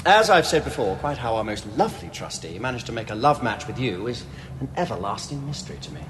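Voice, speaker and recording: An actor playing a snobbish, sardonic gallery director in a radio drama, arch and mocking. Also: male voice, medium pitch, sing-song, fast, background noise, close to an everyday voice.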